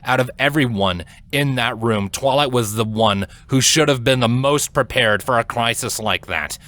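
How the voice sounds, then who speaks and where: A man reading a scripted dramatic story as narrator, solemn, deliberate and expressive. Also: medium pitch, no room sound. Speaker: audiobook-style fan fiction narrator.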